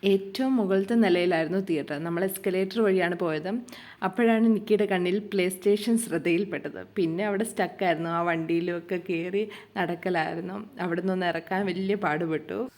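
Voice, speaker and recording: A woman's voice: lowish voice, steady tone, moderate pace, darkish tone. A woman with a Malayali accent narrating her day as a vlogger in a casual, conversational, homely storytelling way. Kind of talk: casual daily vlog narration.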